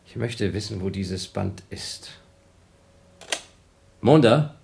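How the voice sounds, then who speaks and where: A restless male astronaut in a radio drama, cynical and slyly persuasive. His voice sits fairly low, and he talks in a conversational way with a sardonic, needling edge. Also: animated, takes it slowly, gravelly, darkish tone, full character voice. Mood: restless, evasive.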